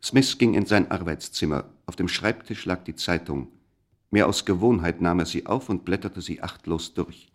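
Serious storyteller for a radio play, really low voice, measured scripted narration. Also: male voice. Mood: measured, sombre. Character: grave, measured male storyteller of a mystery tale.

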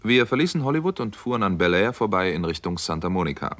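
An actor playing a wry, sceptical doctor who narrates a 1960s radio crime drama in a crisp, matter-of-fact manner. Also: male voice, low voice, sing-song, quick pace, a bit bright.

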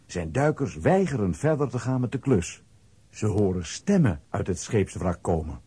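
A man with a husky voice narrating a scripted children's audio play. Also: lowish voice, sing-song, moderate pace, dark tone, dry recording.

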